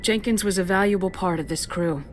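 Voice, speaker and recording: An actress playing a resolute, composed military commander in a video game, with controlled, direct delivery. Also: female voice, mid-range voice, a little fast, faint music behind, lightly acted. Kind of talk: dramatic video game dialogue.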